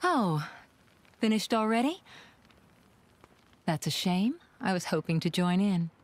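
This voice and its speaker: A woman's voice: mid-range voice, big pitch swings, takes it slowly. An actress playing a cool, self-assured, independent woman warrior in a video game, composed and dry in manner. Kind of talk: video game cutscene acting.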